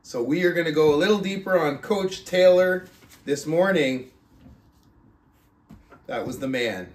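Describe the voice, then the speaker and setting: A man with a voice a bit higher than most hosts a book club podcast. He speaks in a deliberate way, leaving pauses and stretching words for emphasis. The recording is noticeably echoey, with the room clearly audible around his voice. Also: animated, a little hiss or hum. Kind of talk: casual podcast talk and reading a book aloud. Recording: roomy, echoing sound.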